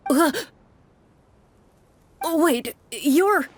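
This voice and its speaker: A woman's voice: sits high, lively intonation, extremely slow, voice pushed up, exaggerated voice. A woman voicing an anxious, easily flustered man in a role-playing video game, breathless, stammering and effusive. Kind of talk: tabletop game master role-play in a video game. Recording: music behind the voice.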